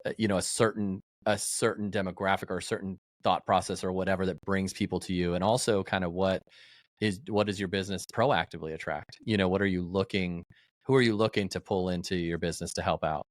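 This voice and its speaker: A man with a low voice co-hosting a laid-back interview. He winds through his questions with many fillers and restarts, and the recording is dry and close. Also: steady tone, no room sound. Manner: rambling, halting questions full of fillers. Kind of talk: casual interview chat.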